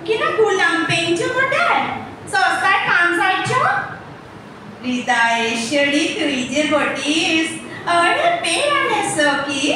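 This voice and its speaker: A woman's voice: very high-pitched, very animated, slow. A woman with a West Bengali accent reciting rhyming verse in a singsong, theatrical way. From Kolkata area, West Bengal. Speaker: workshop student. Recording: echoey noisy hall, distant mic.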